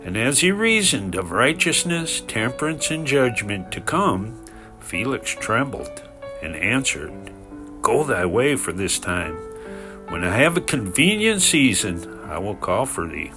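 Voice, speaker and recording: A man giving a measured, expressive reading of scripture in a formal narrating style. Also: mid-range voice. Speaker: scripture reader. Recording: music behind the voice.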